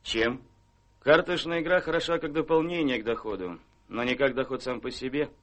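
A middle-pitched voice gives this gentleman gambler , played by a man, a weary, worried air. He speaks with glum, dry irony in theatrical radio-play style. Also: a little fast, lightly acted. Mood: worried, bitter.

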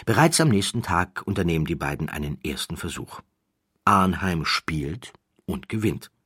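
Deep, husky male audiobook narrator. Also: big pitch swings, moderate pace, a bit bright, dry recording, clean studio sound. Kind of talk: expressive scripted storytelling.

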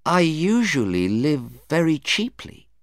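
A man's voice: fairly high pitch, sing-song, slow pace, no echo, studio quality, voice pushed up, exaggerated voice. A man voicing a distressed, defensive young woman in an audiobook, hesitant and pleading. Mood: hesitant, nervous. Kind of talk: audiobook character voice.

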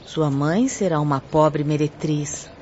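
A woman acting a serene, solemn spirit nun in a radio drama, with a Brazilian accent and measured, pious delivery. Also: deep voice, mellow. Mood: solemn, prophetic. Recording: muffled, noisy lo-fi.

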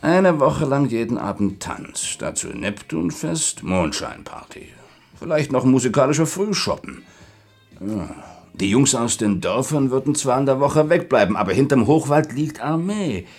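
Shrewd chatty lakeside restaurant boss, voice swings up and down, colloquial, radio play. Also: male voice, medium pitch, very animated, moderate pace, darkish tone, husky, faint music behind. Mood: calculating. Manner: calculating, colloquial, thinks aloud in short phrases. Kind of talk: naturalistic German radio play dialogue.

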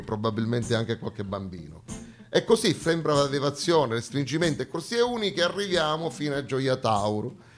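A man with a southern Italian accent delivering a satirical stage monologue in a dramatic, sarcastic, expressive storytelling manner. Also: mid-range voice.

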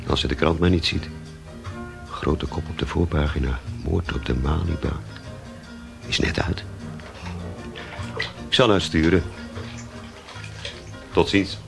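A man playing a gruff, pressing character in a naturalistic radio crime drama. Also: extremely low pitch, slow, pauses often, over music, exaggerated voice. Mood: dry.

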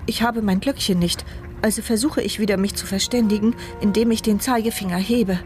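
A woman's voice: medium pitch, fairly even intonation, brisk, a little mellow, soft background music, natural voice. An actress playing a mute, paralysed woman who narrates her inner thoughts in an intimate, urgent voice in a radio drama. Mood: tense, wry. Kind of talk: first-person radio drama monologue.

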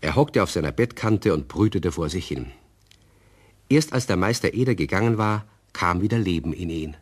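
A man narrating a children's radio play as an expressive, husky-voiced storyteller. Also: sits low, normal speed. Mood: storytelling.

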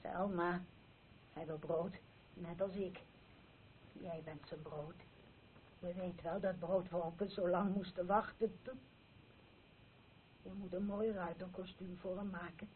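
A woman's voice: on the low side, steady tone. A woman playing an older, obsessive mother in a radio play, plaintively repeating herself like an incantation. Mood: haunted, insistent. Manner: slow, repetitive, incantatory. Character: obsessive mother, insistently and plaintively craving bread. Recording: tinny, noisy old radio recording.

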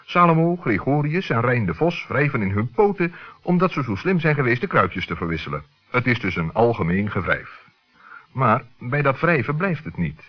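A man narrating a children's radio play in a scripted storytelling style, with a husky voice. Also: medium pitch. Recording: dull lo-fi old radio recording.

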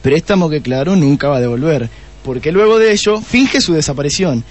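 A man's voice: on the high side, lively intonation. A man playing a smug, theatrical police detective with an Argentine accent in a comedic radio drama. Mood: triumphant, explaining. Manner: self-satisfied, explaining with dramatic pauses.

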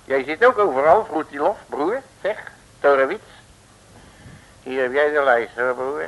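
A man's voice: a little high, unhurried, a bit bright, highs cut off. A man playing a cheeky, boisterous detective with folksy slang and jovial bluster in an old comic radio serial. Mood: grumbling, fed up. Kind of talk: 1950s Dutch comic radio serial acting.

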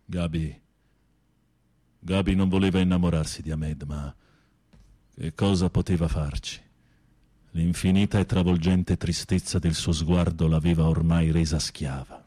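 An actor playing a tormented, fearful, lovesick former teacher in prison in a radio drama, husky and pleading, with a poetic, theatrical delivery. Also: male voice, low voice, steady tone, unhurried, long pauses, mellow, dry recording. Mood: sorrowful. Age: middle-aged. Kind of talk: intimate radio drama acting.

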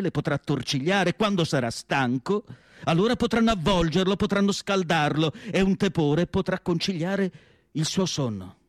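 Higher-pitched man in solemn, dry-sounding dramatic recitation. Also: no echo. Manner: solemn, declamatory, deliberate phrasing with dramatic pauses. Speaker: stage actor reciting literary prose. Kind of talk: dramatic literary monologue for radio theatre.

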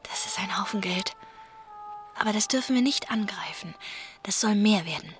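An actress playing a gentle, hopeful young working-class woman in a radio drama, reassuring and devoted. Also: medium pitch, moderate pace, soft background music, lightly acted. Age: young adult. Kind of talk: German radio drama acting.

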